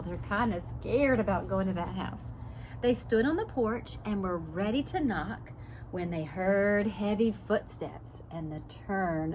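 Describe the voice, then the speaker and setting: A woman's voice: mid-range voice, noisy background. A woman preschool teacher reading a storybook aloud and adding simple explanations and questions for young children.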